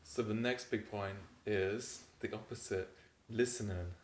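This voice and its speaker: A man calmly explaining relationship advice in a steady way, with many fillers. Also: lowish voice, unhurried, reverberant. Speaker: relationship coach. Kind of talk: advice talk to camera.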